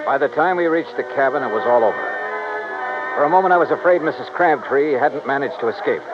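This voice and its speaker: An actor playing a hard-boiled, blunt insurance investigator in a 1950s radio detective drama, speaking in a clipped, matter-of-fact way. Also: male voice, medium pitch, big pitch swings, fairly quick, loud music behind the voice. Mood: grim narrating. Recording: dull, noisy vintage radio recording.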